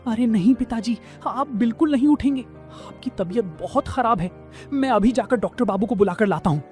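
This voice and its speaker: A man's voice: very high-pitched, expressive, brisk, darkish tone. A young man playing a dutiful, respectful son who helps at a food stall in a cartoon story. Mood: alarmed, urgent. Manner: earnest, respectful. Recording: music under the voice.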